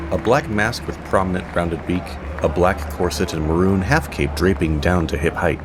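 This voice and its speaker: A man narrating an audio drama as storyteller, with measured, theatrical pauses. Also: low voice.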